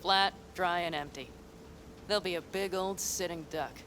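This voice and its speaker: A woman's voice: on the low side, fairly even intonation, normal speed, a bit bright, a little hiss or hum, natural voice. A woman playing a blunt, dry-witted desert nomad. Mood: calm, assured. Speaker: game character. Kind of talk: video game dialogue.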